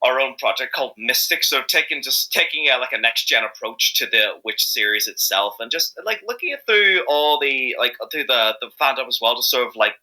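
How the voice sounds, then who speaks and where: A man's voice: mid-range voice, expressive, a little fast, few pauses, pushed and bright, low-quality recording. A man with an Irish accent chatting casually as an interview guest, hesitant and rambling but enthusiastic. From Ireland. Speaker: interview guest, amateur fandub voice actor.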